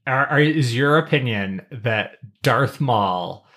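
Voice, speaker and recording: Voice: mid-range voice, expressive, slow pace, fairly bright tone. A podcast co-host chatting casually and explaining things, often restarting sentences along the way. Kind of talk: casual chat about an animated series.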